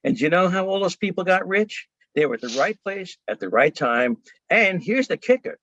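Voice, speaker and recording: A man with an American accent and a somewhat high voice makes a sales pitch on a call. He is emphatic and deliberate, like a practised salesman. The audio is dry and a little dull, with narrowed bandwidth. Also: sing-song, bright, forceful tone, no echo. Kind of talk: rehearsed sales pitch in conversation.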